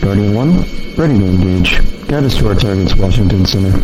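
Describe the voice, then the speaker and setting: A man's voice: low voice, expressive, fairly quick, noisy background. A man playing a disciplined military fighter pilot in an audio drama, speaking in terse radio phrases. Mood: resolute. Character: military fighter pilot, male, ready for combat.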